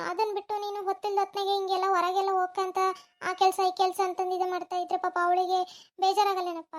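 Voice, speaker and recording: A fretful cartoon mother, voiced by a woman, scolds and pleads with her son in a very high, shrill voice. Her tone swings between nagging and lament. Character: worried middle-aged mother scolding her grown son. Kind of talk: home-made cartoon voice acting.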